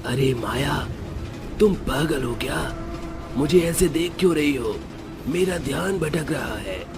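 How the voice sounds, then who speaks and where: A man's voice: a little high, lively intonation, takes it slowly, over music. A man playing a hapless, henpecked ghost in a cartoon, grumbling in an exasperated way. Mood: flustered. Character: hapless, henpecked male ghost train driver in love. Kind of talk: animated horror-comedy story acting.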